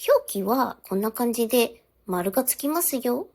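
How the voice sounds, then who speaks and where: A woman language teacher giving a scripted lesson, politely addressing her viewers with clear step-by-step instruction. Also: on the high side, lively intonation, moderate pace, darkish tone. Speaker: Japanese teacher.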